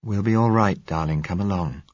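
A calm, tender blind young man, voiced by a male narrator, soft and reassuring. A deep, husky voice in a dry, dull recording. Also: moderate pace, dry recording.

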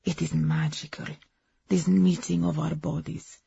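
Woman narrator as passionate woman in love, breathy, dramatic, gravelly, roomy muffled audiobook recording. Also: sits low, little pitch movement, unhurried, dark tone, exaggerated voice. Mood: rapturous. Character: passionate Eastern European immigrant wife confessing her love affair.

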